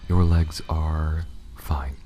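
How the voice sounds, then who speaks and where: A man playing a gentle, reassuring, slightly awkward alien starship dweller in a soft, half-whispered, halting ASMR roleplay. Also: rumbling low, fairly even intonation, slight background noise, exaggerated voice. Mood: wry, gentle. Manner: hushed, halting, soothing. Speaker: character in audio roleplay.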